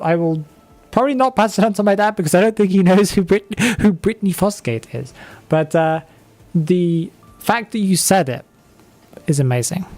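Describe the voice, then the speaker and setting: A man in his twenties chatting casually on a live game stream, reading chat and laughing often. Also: high-pitched. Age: 20s.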